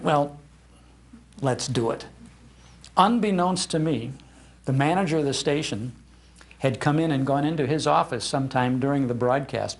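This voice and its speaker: An older man, a radio host, giving an informal live talk in a chatty, anecdotal way into an event microphone. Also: mid-range voice. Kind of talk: informal public talk, storytelling. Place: speaking live in a public library room.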